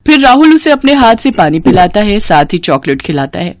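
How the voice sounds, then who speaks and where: A woman narrates a scripted drama story. Her pitch sits in the middle of the female range. The recording is dry, with very little room sound. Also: sing-song, a little fast, no echo.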